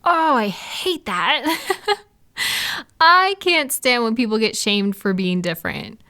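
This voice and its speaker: A woman's voice: fairly high pitch, animated, takes it slowly, heavily acted. A woman playing a caring, chatty girlfriend in an intimate one-sided roleplay audio, talking affectionately and reassuringly to a partner. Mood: protective, concerned. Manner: soft-hearted, reassuring, playful, affectionate. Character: caring, gentle, chatty girlfriend comforting a night-owl partner.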